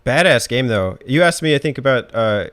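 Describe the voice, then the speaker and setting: A man's voice: medium pitch, lively intonation, moderate pace, fairly bright tone. A man hosting a podcast, chatting about video games in a laid-back, informal way. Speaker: podcast host.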